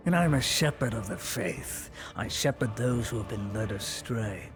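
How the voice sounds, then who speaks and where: A man playing a cold, zealous imperial enforcer in a live roleplay, scornful and commanding, dropping to whispers and rising to shouts. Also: lowish voice, expressive, moderate pace, music in the background, lightly acted. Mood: menacing. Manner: swings between soft whispers and sudden shouts. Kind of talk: live roleplay in a virtual world.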